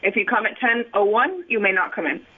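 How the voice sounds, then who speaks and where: A woman's voice: medium pitch, animated, fairly quick. A female store employee answering the phone with brief, matter-of-fact customer service replies. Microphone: telephone. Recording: tinny phone line, faint noise.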